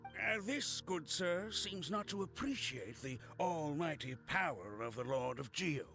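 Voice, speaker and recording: An actor playing a self-important, excitable male archaeologist in a game, declaiming in a pompous, indignant way. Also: fairly high pitch, measured pace, creaky, soft background music, full character voice. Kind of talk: English game voice acting.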